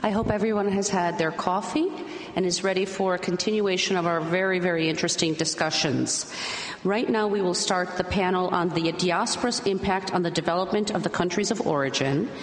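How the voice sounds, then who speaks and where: Low-voiced woman moderator in an echoey hall. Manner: measured and courteous, with occasional "um". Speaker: panel moderator. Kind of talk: formal conference introduction. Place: large conference hall. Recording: echoey conference hall, faint hiss.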